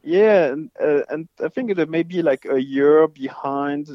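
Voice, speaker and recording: A man with a Swiss French accent answers casually as a guest. He pauses often on fillers. The sound is dull and lo-fi, with a dry, close feel. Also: on the high side, no room sound. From French-speaking Switzerland. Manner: hesitant, many fillers. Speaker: guest forensic scientist. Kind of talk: casual interview.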